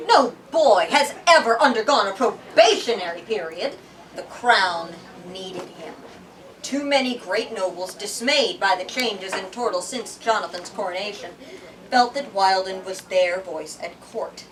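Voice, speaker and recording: A woman hosting a book talk, reading and explaining dramatically with animated, emphatic, theatrical delivery. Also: mid-range voice, a little slow, distant mic, a little hiss or hum, low-quality recording. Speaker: book talk host and reader.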